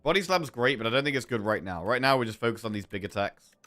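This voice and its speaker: A man's voice: mid-range voice, animated, quick pace, fairly bright tone. A man with a sore throat giving casual gameplay commentary as a streamer, thinking aloud through his choices. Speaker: game streamer.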